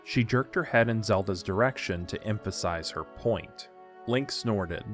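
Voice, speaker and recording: A man's voice: fairly low pitch, a little slow, soft background music. A man narrating a scripted story for an audio drama.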